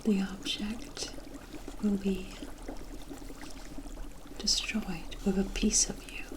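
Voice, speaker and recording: Kindly forest witch played by a woman for ASMR roleplay: slow, hushed whisper, soothing and formally spoken. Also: medium pitch, flat delivery, close to an everyday voice. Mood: gentle, confiding. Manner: gentle, reassuring, formal storybook phrasing. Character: kindly forest witch brewing a protective potion.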